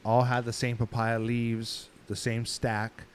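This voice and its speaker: A man's voice: medium pitch, completely flat delivery, takes it slowly. A man chatting casually in a laid-back, slangy way with plenty of swearing. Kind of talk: casual chat. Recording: room recording with clear background noise.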